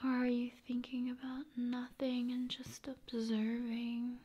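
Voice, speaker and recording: A woman hosting ASMR in a calm, soothing manner, moving between soft speech and whispering. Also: on the high side, unhurried, breathy edge. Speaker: ASMR video host.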